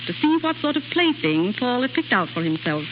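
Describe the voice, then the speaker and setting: Haughty lady, high voice. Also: sing-song, a little fast. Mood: mocking, condescending. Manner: condescending, amused, coolly patronising. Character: haughty, mocking English society lady. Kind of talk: 1930s radio drama acting. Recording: dull, faintly noisy 1930s radio recording.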